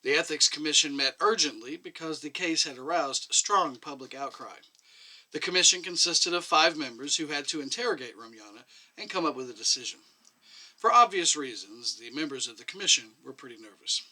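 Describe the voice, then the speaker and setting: A man reads literary fiction in a very bright, forceful voice with lively intonation. He narrates from a script and shifts into acted dialogue. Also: fairly high pitch, a little fast, rough recording. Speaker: reader of a short story.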